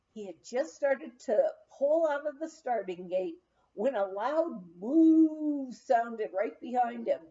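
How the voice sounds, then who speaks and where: A woman with a fairly high voice reads a humorous memoir aloud at a leisurely pace. Her delivery is expressive and full of lively rises and falls, in the manner of a storyteller. The recording is a little dull and lo-fi. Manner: animated storytelling, acting out quoted dialogue. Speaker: audiobook reader. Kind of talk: expressive reading of a humorous first-person memoir.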